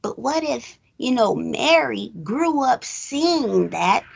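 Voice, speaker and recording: Female podcast co-host, unhurried and gravelly with fry, higher-pitched, casual and chatty movie-recap delivery. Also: very animated, dull audio. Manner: chatty, acts out imagined dialogue. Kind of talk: casual film discussion with rambling retellings.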